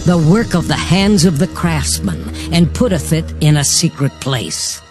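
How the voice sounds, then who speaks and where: Man acting a stern, high-ranging prophet proclaiming law. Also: very animated, measured pace. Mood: stern, condemning. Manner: solemn, declamatory. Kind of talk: dramatized Bible reading. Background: music.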